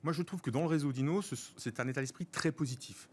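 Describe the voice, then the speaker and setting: A middle-aged man with a French accent from France, giving structured, measured explanations as an interview guest and repeating words for emphasis. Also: on the high side, slight background noise. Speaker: interview guest, senior professional.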